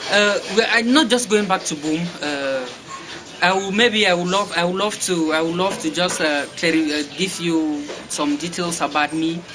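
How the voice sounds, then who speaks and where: A man's voice: high voice, normal speed. A young Cameroonian man with a Cameroonian English accent, giving interview answers with many fillers and restarts. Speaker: guest. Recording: noisy background.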